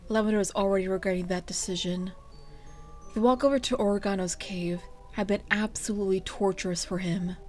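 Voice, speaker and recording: A woman's voice: medium pitch, darkish tone. A woman hosting and narrating a fan fiction reading in a casual, storytelling style. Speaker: fan fiction reader and channel host.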